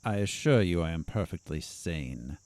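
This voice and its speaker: An actor playing an ambitious, scheming doctor in an audio drama, measured, pointed and self-assured. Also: male voice, low voice, expressive, a little mellow, dry recording. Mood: assertive bargaining.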